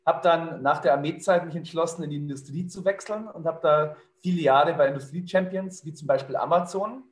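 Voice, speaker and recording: A middle-aged man talks in a businesslike, fluent way, his voice a little higher than most. The sound comes from an echoey room. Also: brisk. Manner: fluent, businesslike, many fillers. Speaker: leadership consultant. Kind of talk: conversational interview answer.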